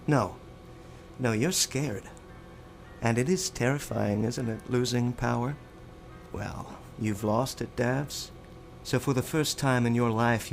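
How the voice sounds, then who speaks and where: A man playing a sardonic, righteous rebel power station operator in an audio drama, taunting and deliberate with sarcasm. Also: mid-range voice, gravelly.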